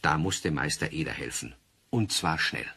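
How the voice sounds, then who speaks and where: A man narrating a children's radio play as a husky-voiced storyteller. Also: extremely low pitch, expressive, normal speed, pushed and bright, exaggerated voice.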